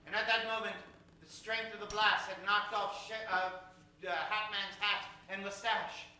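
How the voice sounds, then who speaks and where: A man's voice: high-pitched, flat delivery, a little slow, pushed and bright, a little hiss or hum. A man narrating an improvised old-time radio show as its announcer, theatrical and building suspense. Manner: theatrical, suspense-building, repeats words for effect. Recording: echoey stage from far off, music behind.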